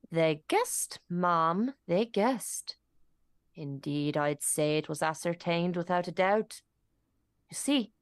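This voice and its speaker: A woman plays a gossipy servant in a period radio drama. She tells her grim tale slowly and with relish, deferential yet dramatic. The sound is close and dry. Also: on the low side, no room sound, full character voice. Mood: confiding. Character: gossipy, dramatic old-time English local servant witness.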